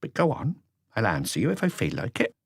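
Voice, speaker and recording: A man's voice: fairly low pitch, huge pitch swings, fairly quick, vocal fry, breathy edge, dry recording, studio quality, heavily acted. A man voicing a wary, proud elderly mother with muttered, defiant retorts in an audiobook. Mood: wistful, proud. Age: older. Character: sharp-tongued elderly mother, wary, proud of her son. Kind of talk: audiobook character voice.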